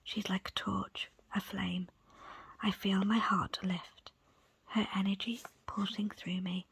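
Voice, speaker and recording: A woman reading a book aloud as ASMR in a soft, breathy, unvoiced whisper, with deliberate pauses between short phrases. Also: medium pitch, little pitch movement, a little hiss or hum. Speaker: audiobook reader. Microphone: close, dry microphone.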